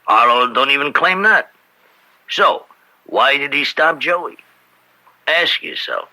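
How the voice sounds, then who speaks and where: Sharp, streetwise crime boss. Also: male voice, medium pitch, lively intonation, takes it slowly, exaggerated voice, low-quality recording. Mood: aggrieved. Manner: rough streetwise grammar, pressing rhetorical questions. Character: aggrieved, scheming male crime boss, streetwise and insistent father. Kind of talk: radio drama acting. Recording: bright old-time radio recording.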